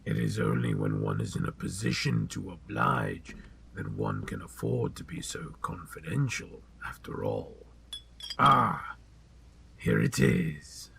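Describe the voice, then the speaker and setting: A man playing a sly, sinister old potion seller in an audio drama, with a gravelly, husky voice and a deliberate, insinuating manner. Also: deep voice, huge pitch swings, fairly bright tone, a little hiss or hum, full character voice. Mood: seductive persuasion.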